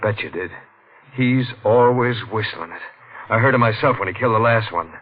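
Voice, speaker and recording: An actor playing a menacing, jealous detective in a 1940s radio thriller, with an American accent and a clipped, slangy delivery. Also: male voice, on the low side, moderate pace, natural voice. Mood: gruff, probing. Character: hard-boiled, jealous, menacing male detective. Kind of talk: 1940s radio thriller acting with first-person narration. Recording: muffled old radio recording, music behind.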